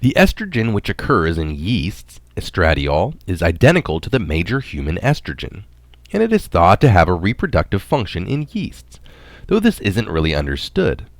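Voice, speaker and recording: Deep-voiced man reading with lively intonation. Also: normal speed, no room sound. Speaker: narrator reading an article aloud. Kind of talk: scripted narration of a science article.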